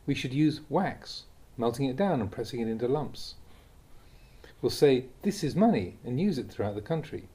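Calm monk with mellow, husky voice. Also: male voice. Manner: calm, deliberate reading with lively pitch on key words. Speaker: monk reading Dhamma talks. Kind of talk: measured reading of a Buddhist teaching.

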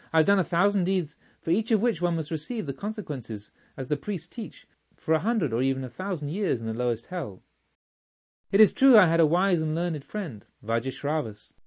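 Audiobook robber chief, read by a man: quiet menace, remorse, formal measured delivery, slightly muffled sound. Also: fairly high pitch, voice pushed up. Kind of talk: audiobook storytelling.

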